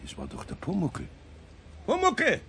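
An actor playing a grumbly, worried older craftsman in a children's radio play, gruff and raspy, with a Bavarian accent. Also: high voice, wildly swooping pitch, unhurried, pushed and bright, a little hiss or hum, heavily acted. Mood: scolding, worried. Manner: gruff, scolding, calling out.

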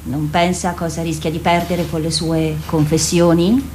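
A woman's voice: deep voice, little pitch movement, moderate pace, a little mellow, slight background noise, lightly acted. A woman playing a scornful, anxious diplomat in a radio drama, theatrical, dismissive and insistent. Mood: evasive, urgent. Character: scornful, anxious female diplomat, evasive and persuasive.

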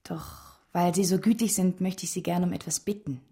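A woman acts a scheming baroness in a radio drama, in a very low, velvety soft voice. She coaxes and flatters seductively, often sinking toward a breathy murmur. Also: normal speed, natural voice. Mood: seductive, pleading. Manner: breathy asides, coaxing, gushing. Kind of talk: German radio drama acting.

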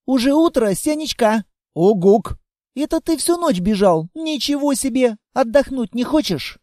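A man voicing a chatty little girl, a child of darkness, who pleads and chatters without pause. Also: extremely high pitch, expressive, darkish tone, dry recording, studio quality, voice pushed up. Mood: cheerful.

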